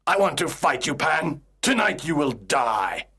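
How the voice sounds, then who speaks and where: Gloating pirate villain, theatrical and menacing, widely swinging pitch, for a children's storybook. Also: male voice, very animated, measured pace, vocal fry, pushed and bright, slight background noise, full character voice. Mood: furious. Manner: theatrical, taunting, swooping delivery. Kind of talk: acted children's audio story.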